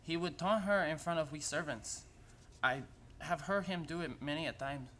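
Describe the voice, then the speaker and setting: Man acting a halting, deferential manservant. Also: on the high side, pushed and bright, slight background noise. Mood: fearful, deferential. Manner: halting, repeating his first words. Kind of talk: radio play acting.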